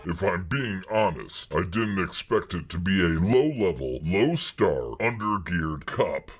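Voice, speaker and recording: A man playing a cheeky, pedantic droid in a comedic sci-fi radio drama, deadpan and literal. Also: low-pitched, a little slow, slightly rough, full character voice. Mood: curious, pedantic.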